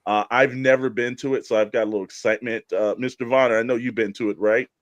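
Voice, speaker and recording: A man's voice: mid-range voice, steady tone, fast, no room sound, highs cut off. A man hosting a show in casual, conversational panel chat. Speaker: show host.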